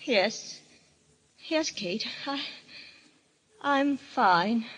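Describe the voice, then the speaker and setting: An actress playing a frightened, fraught wife in an old-time radio mystery, nervous and pleading. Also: female voice, fairly high pitch, expressive, extremely slow. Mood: distraught, weary.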